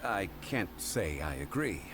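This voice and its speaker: A man playing a calm, erudite, dignified gentleman in a video game, speaking in a measured, formal way. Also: low-pitched, over music, full character voice. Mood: calm, reflective. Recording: game stream with background noise.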